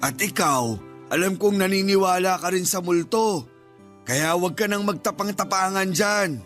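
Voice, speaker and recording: A high-pitched Filipino uncle, superstitious and easily rattled. He nags and scolds in radio drama fashion, with faint music underneath. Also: normal speed, a bit bright. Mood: scolding, familiar. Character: superstitious, nervous, scolding uncle of a young man. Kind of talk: Tagalog radio drama acting.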